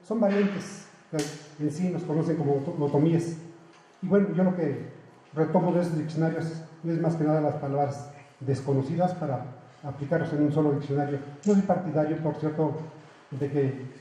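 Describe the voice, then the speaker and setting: Mexican man, native Otomi speaker, teacher; earnest explainer, self-correcting, slightly high voice. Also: moderate pace, mellow, heavy reverb, poor audio. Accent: Mexican Spanish. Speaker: university language teacher. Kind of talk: reading aloud then explaining.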